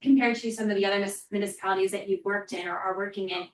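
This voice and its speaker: A woman's voice: medium pitch, steady tone, a little hiss or hum. Woman chairing a meeting, echoey room. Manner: polite, measured, formal phrasing. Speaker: council president. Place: municipal council chamber. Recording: echoey chamber audio from far off.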